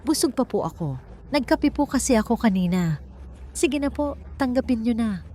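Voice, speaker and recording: Meek, gentle young janitor woman, voiced by a narrator. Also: on the high side, expressive, normal speed, voice pushed up, close to an everyday voice. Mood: gentle, caring. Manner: soft, polite, gentle. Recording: music behind the voice.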